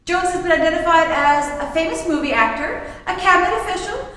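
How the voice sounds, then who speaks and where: A woman's voice: very high-pitched, fast, bright, forceful tone, a little hiss or hum. A woman playing an earnest, concerned newspaper reporter in an old-time radio adventure serial, performed on stage. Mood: earnest, resigned. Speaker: amateur theatre actor. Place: live theatre stage performance. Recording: very echoey hall from far off.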